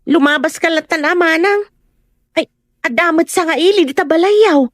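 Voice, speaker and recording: A woman's voice: very high-pitched, normal speed, no room sound, studio quality. A woman with a northern Philippine accent playing a nosy, outspoken younger village woman in an audio drama, full of questions and exclamations. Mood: prying.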